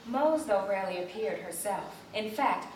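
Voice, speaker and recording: A woman's voice: mid-range voice, expressive, moderate pace, a little mellow. Woman, mid-range voice, live literary stage reader, roomy hall sound, slightly distant mic. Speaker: reader in an ensemble stage reading. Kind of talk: theatrical literary reading aloud. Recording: echoey live theatre, distant mic, faint noise.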